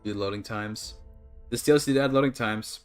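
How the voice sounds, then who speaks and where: A man's voice: lowish voice, fairly quick, clean tone, soft background music. A Canadian man chatting casually to his livestream audience, rambling and apologetic as he goes. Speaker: live streamer. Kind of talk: casual gaming livestream chat.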